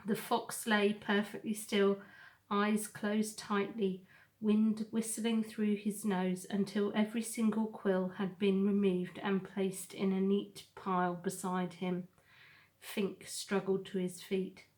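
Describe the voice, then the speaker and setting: A woman narrating a children's novel aloud, with measured and expressive storytelling. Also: medium pitch. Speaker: storybook reader.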